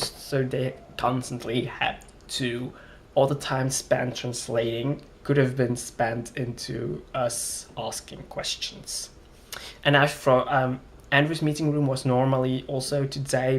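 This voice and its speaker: A man's voice: medium pitch, creaky, a little hiss or hum. A young man from Flanders, rambling and hesitant as he complains in a casual vlog. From Belgium, Flanders. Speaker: vlogger.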